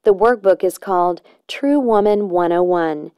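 A woman announcer delivers a scripted promotional read. Her voice is smooth and warm, recorded dry and close. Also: fairly low pitch, measured pace, dry recording.

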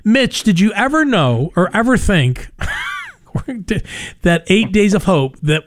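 A middle-aged man with a softened New York accent, telling stories in an animated, casual conversational way. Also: fairly high pitch. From New York, United States. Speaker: ministry leader telling its story. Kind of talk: casual radio interview conversation.

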